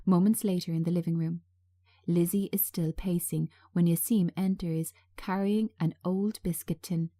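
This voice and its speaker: A woman's voice: lowish voice, fairly even intonation, normal speed, warm tone. A woman narrating a drama script, reading out stage directions.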